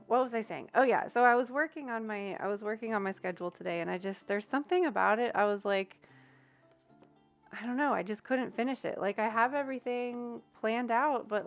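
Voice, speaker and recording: A young woman chatting casually on a livestream, giving readings and thinking aloud with many fillers. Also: medium pitch. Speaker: streamer giving tarot readings. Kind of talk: casual livestream chat with viewers.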